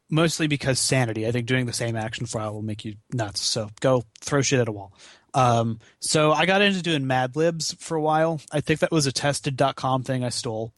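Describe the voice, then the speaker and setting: A man chatting casually as a podcast guest, wry and given to swearing. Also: medium pitch. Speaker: podcast guest, charity gaming marathon organizer. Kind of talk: casual chat.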